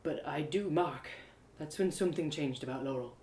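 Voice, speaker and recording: A woman's voice: deep voice, moderate pace, a little mellow, close to an everyday voice. A woman voicing a heartbroken, earnest young village man who confides in a hesitant, rustic way, for an audio drama. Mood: recollecting. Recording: roomy echo of home live reading.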